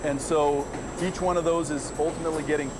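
Middle aged american exec guy chatting thru a tour, bit halting, noisy factory floor behind him. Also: a little high, steady tone. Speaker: company president and CEO. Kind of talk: casual interview while giving a tour. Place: large indoor candy factory.

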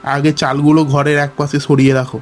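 A man voicing a gentle, worried poor village father in a cartoon. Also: a little high, level tone, brisk, faint music behind, close to an everyday voice. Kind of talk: cartoon voice acting.

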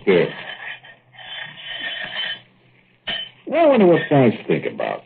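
Easygoing American small-town workman, very low voice, lively intonation, folksy, colloquial, 1940s radio drama. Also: gravelly, husky, exaggerated voice. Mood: casual, teasing. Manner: folksy, colloquial, unhurried storytelling. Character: easygoing small-town working man, plain-spoken narrator. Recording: noisy, muffled old radio recording.

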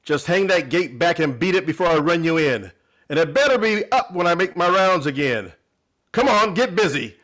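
A man's voice: a little high, expressive, normal speed, a bit bright. A man playing a suspicious, bossy policeman with a sardonic manner in an old-time radio comedy. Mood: stern. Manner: sardonic, commanding.

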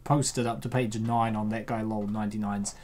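A man's voice: lowish voice, flat delivery, moderate pace, darkish tone, a little hiss or hum. A man hosting a casual comic dub, rambling and joking in slangy commentary.

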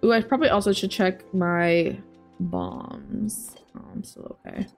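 A young woman talks her way through a relaxed game stream in an easy, drawn-out manner, her voice slightly raspy. She speaks into a headset at home with her thoughts running aloud. Soft music plays faintly underneath. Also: on the low side. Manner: chatty, thinking aloud, soft asides to chat. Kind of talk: casual gameplay commentary.

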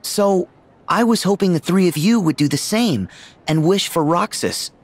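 A man's voice: sits high, lively intonation, moderate pace. A man voicing an earnest, upbeat teenage boy hero in a video game. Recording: music under the dialogue.

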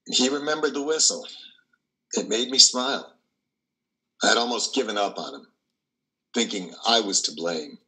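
Man playing a very bright-voiced older priest. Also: on the low side, normal speed, pauses often, rough recording. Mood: reflective. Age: older, 60s or over. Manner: gentle, hesitant, encouraging. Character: kindly, reclusive older male priest. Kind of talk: play reading over video call.

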